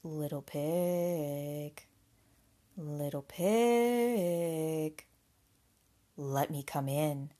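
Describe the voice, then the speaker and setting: A woman voicing a big bad male wolf in a children's storybook, menacing and sly, with drawn-out, coaxing words. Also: low-pitched, warm tone, voice pushed down.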